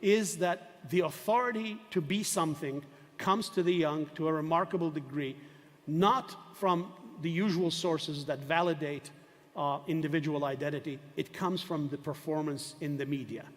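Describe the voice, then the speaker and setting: An older man lectures in a discursive, emphatic way and often poses rhetorical questions to his audience. His voice sits a little high for a man. The sound carries a long reverb from a lecture hall. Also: moderate pace. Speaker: professor lecturing. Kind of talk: university lecture.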